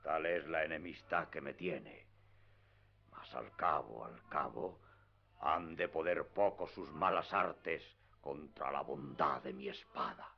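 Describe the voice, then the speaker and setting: A very deep-voiced, proud knight-errant. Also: male voice, takes it slowly, creaky, husky, full character voice. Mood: indignant, lofty, then solemn defiance. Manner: declamatory, lofty, archaic speech. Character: proud, deluded old Spanish knight-errant, grandiose and solemn. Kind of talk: radio theatre acting. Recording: dull lo-fi radio drama with music.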